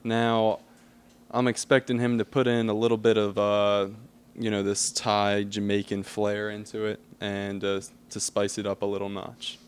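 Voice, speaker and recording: A man giving casual, measured, understated answers in conversation. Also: lowish voice, monotone, clean tone. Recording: faint background room noise.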